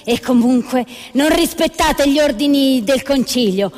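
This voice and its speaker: A woman's voice: sits high, measured pace, a little mellow. A woman playing a pompous, exasperated male church inquisitor in a satirical puppet play, accusatory and formal. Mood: indignant. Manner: accusatory, indignant, formal courtroom address. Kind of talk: satirical stage puppet theatre. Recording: reverberant theatre hall.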